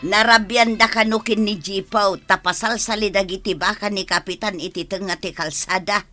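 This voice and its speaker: A woman's voice: mid-range voice, steady tone, normal speed, pushed and bright, soft background music, lightly acted. A woman playing a teasing, gossipy village neighbour in an Ilocano comedy drama, speaking with an Ilocano accent. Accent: Filipino, Ilocano. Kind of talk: acted village comedy dialogue.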